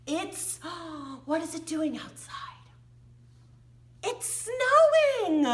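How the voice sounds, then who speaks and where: American woman, children's storytime teacher: high, swooping voice, unhurried pace, playful sing-song reading. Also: fairly bright tone. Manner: exaggerated, playful delivery with gasps and questions to small children.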